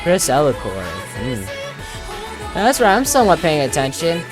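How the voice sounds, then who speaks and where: Female gaming creator, low voice, casual and jokey, given to mock-dramatic asides, clear music underneath. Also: very animated, moderate pace. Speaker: gaming video creator commenting on gameplay. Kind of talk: casual, playful gameplay commentary.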